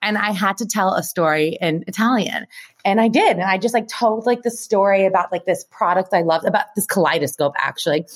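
A woman co-hosting a podcast, chatting casually and telling stories in an animated, informal way with plenty of filler "like" and some swearing. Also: medium pitch, fairly quick. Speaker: podcast co-host. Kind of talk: casual chat and storytelling.

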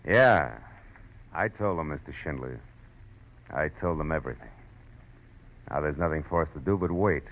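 A man's voice: extremely low pitch, expressive, normal speed, long pauses, a little hiss or hum. A man playing a weary, wry, hard-boiled private detective in 1940s American radio drama style. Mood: grim. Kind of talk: 1940s radio drama acting and first-person narration. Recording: muffled old radio recording, music behind.

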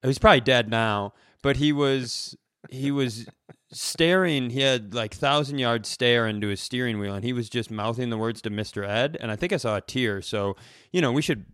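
A man's voice: medium pitch, a bit bright, smooth, no room sound. Rambling man in a dry-sounding podcast chat. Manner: rambling, halting, self-deprecating. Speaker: podcast co-host. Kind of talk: casual comedic chat.

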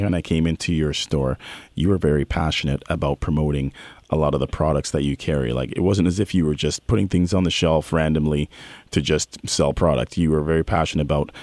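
Man, interview host, very deep voice, dry sound, easygoing chat. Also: no room sound. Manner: relaxed, agreeable, many fillers. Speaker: talk show host.

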